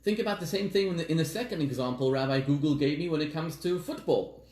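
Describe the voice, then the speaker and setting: Rabbi from southern Africa, echoey sermon. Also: male voice, on the high side, darkish tone. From Zimbabwe, raised in South Africa. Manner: storytelling with dramatic pauses, slowing on key words. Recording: echoey room.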